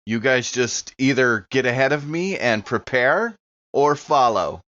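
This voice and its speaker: A man's voice: mid-range voice, animated, measured pace, a bit bright. A man chatting casually as a tabletop game player, blunt and gung-ho. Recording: slightly dull lo-fi sound.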